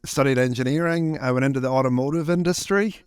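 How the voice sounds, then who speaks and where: A man's voice: mid-range voice, quick pace, clean tone, no room sound. A man with a Northern Irish accent, speaking conversationally as a podcast guest. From Northern Ireland. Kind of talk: conversational interview.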